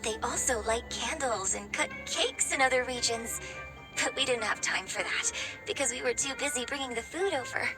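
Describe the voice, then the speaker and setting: A woman's voice: fairly high pitch, normal speed, close to an everyday voice, rough recording. A woman playing a poised, playful young female stage performer in a video game, polite, articulate and sweetly teasing. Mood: cheerful. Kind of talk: animated video game voice acting. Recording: game music behind the voice. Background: game music.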